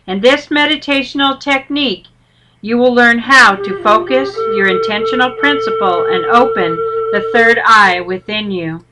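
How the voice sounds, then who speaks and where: A woman teacher narrating a guided meditation with measured, instructional delivery. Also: on the high side, sing-song, poor audio. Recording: loud music under the voice. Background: loud instrumental music.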